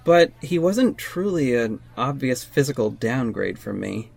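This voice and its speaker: A wounded ex-lover, slow and earnest. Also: male voice, medium pitch, very animated, creaky, darkish tone, faint music behind, full character voice. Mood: somber narrating. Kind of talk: audio drama acting and first-person narration.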